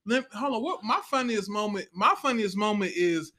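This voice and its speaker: An American man in casual group chat as a play cast member, excitable and repeating phrases for emphasis. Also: high voice, normal speed.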